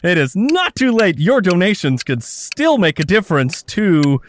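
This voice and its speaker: A man's voice: high voice, very animated, unhurried, slight background noise. A man playing a frantic, laughing telethon host. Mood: nervous pleading. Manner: nervous laughing, pleading. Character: desperate male telethon host, laughing nervously.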